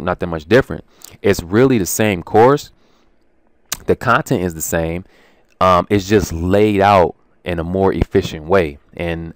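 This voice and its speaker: A man's voice: sits low, clear, resonant tone, no echo. A man casually walking viewers through his language course materials, informal and chatty with lots of ums and restarts. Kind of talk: casual screen-share walkthrough.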